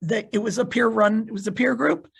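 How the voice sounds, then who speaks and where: Hoarse, very husky moderator on a call. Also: medium pitch. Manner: clears throat often, halting. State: hoarse, losing voice. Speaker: panelist and moderator. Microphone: video call.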